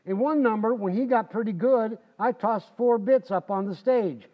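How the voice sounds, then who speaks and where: Man playing a high-pitched, folksy wisecracking radio husband. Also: moderate pace, lightly acted. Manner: folksy, cocky, joking. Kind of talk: old-time radio comedy acting. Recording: dull lo-fi, reverberant hall.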